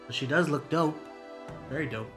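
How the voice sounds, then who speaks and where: A man's voice: on the high side, normal speed. A man streaming a game with casual, slangy, rambling commentary to his chat. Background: game music.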